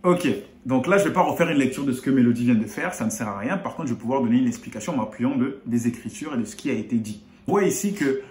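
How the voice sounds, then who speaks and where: Man, from Congo, Bible teaching, conversational, mid pitch, echoey room. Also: fast talker, hardly any pauses. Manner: explanatory, animated pitch rises on key points. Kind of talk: explaining scripture in casual chat.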